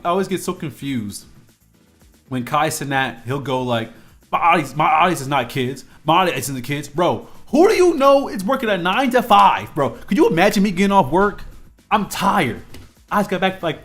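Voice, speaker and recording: A man in his twenties talks in a slightly high voice, rambling casually with fillers and restarts. His talk has the loose, animated feel of a live stream. Faint music plays quietly beneath him. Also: moderate pace. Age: 20s. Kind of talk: casual live-stream chat while drawing.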